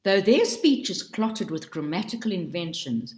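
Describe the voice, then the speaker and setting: A woman reading a book aloud in a slow, deliberate and expressive way. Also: fairly low pitch. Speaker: reader. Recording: roomy echo.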